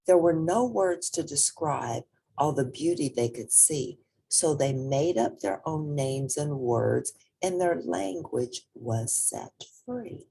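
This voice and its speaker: A woman reading a children's picture book aloud in a gentle, unhurried, expressive way. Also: deep voice, gravelly, slight background noise. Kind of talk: expressive storybook read-aloud.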